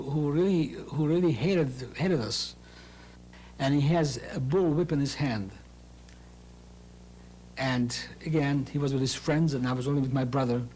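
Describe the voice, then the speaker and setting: An older man from Hungary giving a halting, recalled testimony as an interviewee, often repeating words as he searches for them. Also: fairly high pitch, a little mellow, a little hiss or hum, poor audio.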